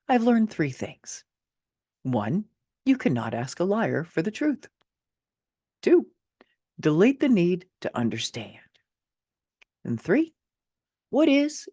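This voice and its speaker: A middle-aged woman reading her own personal essay as scripted memoir narration, expressive and candid, with wry touches and deliberate emphasis. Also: rumbling low, dry recording, studio quality.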